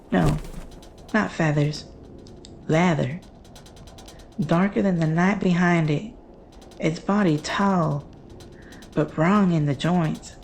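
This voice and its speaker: Haunted actress as woman, slow and gravelly. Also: lowish voice, slight background noise. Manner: hushed, measured, halting phrases. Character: Jane, haunted woman recounting her family's monster encounter. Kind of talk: slow scripted horror storytelling.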